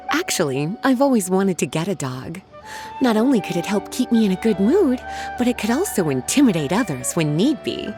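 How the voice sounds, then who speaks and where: Woman playing a teasing, languid sorceress, swooping pitch. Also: natural voice. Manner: coy, drawn-out, playful endearments. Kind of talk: video game voice acting.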